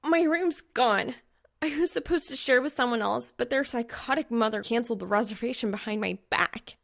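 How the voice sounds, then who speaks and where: A woman voicing a fiery, excitable young woman gamer in an audiobook, speaking in a dramatic, flustered, slangy way. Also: fairly high pitch, moderate pace, gravelly, pitched above the performer's own voice. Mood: outraged. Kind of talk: audiobook character dialogue.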